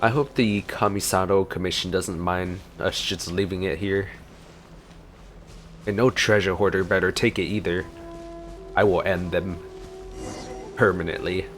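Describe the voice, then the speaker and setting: Low-voiced man reacting to a video game. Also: fairly even intonation, unhurried, long pauses, fairly bright tone, faint music behind. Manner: musing, thinking aloud. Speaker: gameplay streamer. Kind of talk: casual gameplay reaction commentary.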